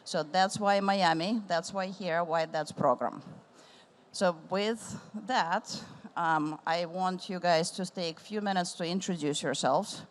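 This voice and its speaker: A middle-aged woman moderating a live panel on a conference stage, speaking warmly and rambling with restarts. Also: on the low side, a little slow.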